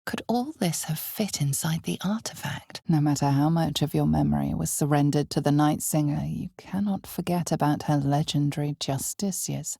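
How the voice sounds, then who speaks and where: Casting: a knowing, ominous female narrator for a dark fantasy video game. Deep for a woman, gravelly, measured storyteller delivery. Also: dry recording, clean studio sound, exaggerated voice.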